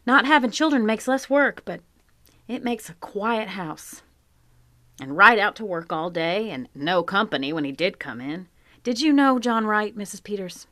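An actress playing a plain-spoken, regretful middle-aged farm wife in a live audio drama, with earnest period rural speech. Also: female voice, medium pitch, expressive, moderate pace, fairly bright tone, dry recording, close to an everyday voice. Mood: somber. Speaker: Mrs Hale. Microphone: home microphone in a closet.

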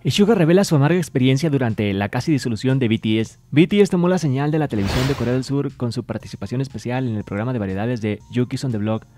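A man's voice: medium pitch, animated, fast talker, few pauses, mellow. A man hosting a scripted entertainment news show, reading reports and translated quotes in an upbeat announcer manner.

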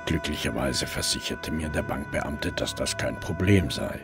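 A very low male voice over clear background music. a gruff, weary middle-aged detective recounting his story in a radio crime drama. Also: expressive, moderate pace, exaggerated voice. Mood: brooding. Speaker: narrator and lead actor. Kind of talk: German radio crime drama narration and dialogue.

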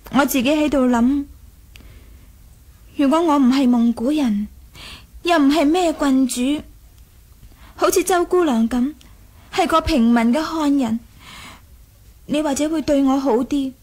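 A very high-pitched young princess in a radio play. She is proud and imperious, yet coquettish and teasing, with a wistful edge to her probing manner. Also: a little slow, pauses often, warm tone. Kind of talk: Cantonese 1980s radio drama acting.

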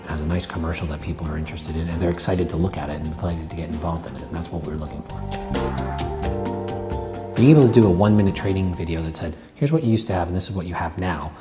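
A man in his thirties, a bank executive, explaining a project earnestly in a corporate interview indoors. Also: sits low. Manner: earnest, explanatory business talk. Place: indoor office with brick wall.

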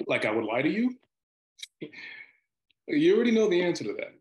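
A man acting a husband in his forties who dodges questions with playful hesitation. His pitch moves around freely in this screenplay reading over a video call. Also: very animated, a little fast. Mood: hesitant, defensive. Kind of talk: screenplay table read. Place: indoor room on a video call. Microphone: video call microphone.

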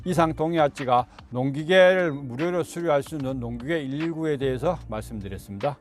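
A man's voice: fairly high pitch, a little fast, soft background music. A middle-aged man, explaining farming tips to viewers in a polite, rambling, colloquial way. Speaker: video host giving farming tips. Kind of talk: informal explanatory monologue for viewers.

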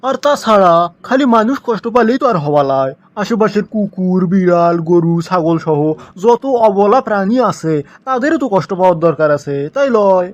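A man's voice: high-pitched, animated, normal speed, few pauses, highs cut off. A man with a Bogura accent from northern Bangladesh playing a sarcastic, moralizing schoolteacher in a comic cartoon, full of mocking rhetorical questions. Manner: mock agreement, rhetorical questions, preachy. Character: sarcastic, moralizing male schoolteacher scolding his pupil. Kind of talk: comic cartoon dialogue turning into sermon.

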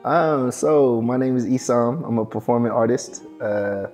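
A young man from Morocco, raised in Seattle, answering interview questions in a halting, soft-spoken way with many fillers and restarts, in a studio. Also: medium pitch, faint music behind. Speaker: guest, performing artist. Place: dark studio set.

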